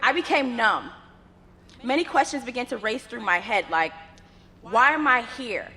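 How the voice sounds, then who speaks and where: A young woman, a native Yoruba speaker, delivers a graduation address with earnest, measured oratory and rhythmic lists. Her voice is bright and edged, sitting slightly high for a woman. It rings out through the long reverb of a large ceremony space. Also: lively intonation. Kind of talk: commencement address.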